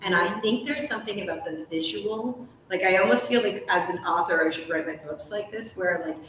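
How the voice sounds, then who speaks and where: A woman's voice: medium pitch, brisk, a bit bright. A woman in her thirties hosting a live interview chat, talking in an enthusiastic, emphatic way with many casual "likes". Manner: enthusiastic, emphatic, uses "like" often. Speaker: host, interviewer. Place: large hotel room, indoors. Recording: echoey room, distant mic, faint noise.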